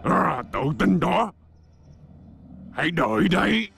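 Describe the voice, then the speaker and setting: A man voicing a boastful, cheating dragon monster in a cartoon dub, gravelly and blustering. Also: high-pitched, big pitch swings, slow pace, fairly bright tone, exaggerated voice. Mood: menacing, boastful. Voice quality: gravelly, creaky. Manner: blustering, theatrical, indignant. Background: background music.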